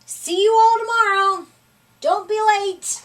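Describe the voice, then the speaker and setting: A woman's voice: very high voice, fairly even intonation, takes it slowly, a bit bright, voice pushed up, heavily acted. A woman voicing an eager, determined little male woodpecker from a storybook, with emphatic delivery, a little away from the microphone. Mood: confident, showy. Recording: distant microphone.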